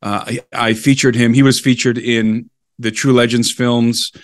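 A man's voice: mid-range voice, level tone, a little slow, no room sound. Man explaining history, close dry sound. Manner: explanatory, digressive, enthusiastic about the subject. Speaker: podcast guest, explorer and researcher. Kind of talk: conversational historical storytelling.

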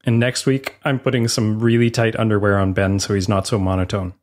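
A man's voice: lowish voice, level tone, a little fast. A man as podcast co-host, chiming in with short joking interjections in casual chat.

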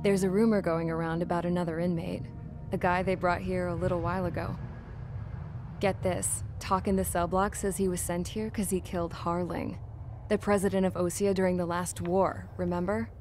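An actress playing a jaded young mechanic in a penal unit, narrating in a sardonic, world-weary voice. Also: female voice, fairly low pitch, level tone, moderate pace, close to an everyday voice. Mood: subdued, bitter. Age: young adult. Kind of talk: scripted dramatic monologue narration. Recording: dramatic music loud behind.

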